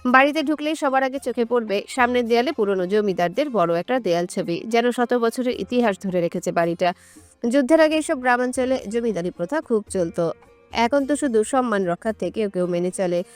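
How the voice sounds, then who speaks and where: Woman narrating a story over soft music. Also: on the high side, animated, brisk. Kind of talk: scripted romantic story narration.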